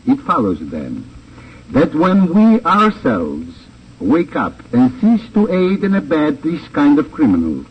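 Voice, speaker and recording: A man's voice: mid-range voice, muffled recording. A man gives a formal, earnest scripted moral address in the style of 1950s radio. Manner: formal, earnest, deliberate phrasing. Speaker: guest actor giving closing address. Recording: distant, band-limited old radio recording, faint noise.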